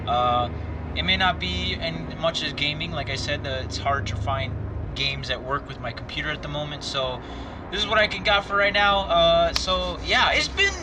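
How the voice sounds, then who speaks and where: A man's voice: a little high, noisy background. A man hosting a gaming video, chatting loosely and reading a story game aloud, rambling with many fillers and restarts. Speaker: gaming channel host. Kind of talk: casual let's play commentary, reading a story game aloud.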